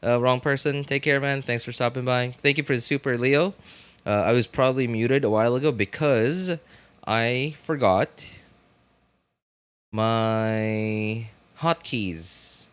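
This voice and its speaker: A man's voice: mid-range voice, unhurried, a bit bright, clean tone. A man chatting casually as a game streamer on a livestream, rambling and often searching for words. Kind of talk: casual livestream chat while gaming. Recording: game audio behind voice. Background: game music and sound.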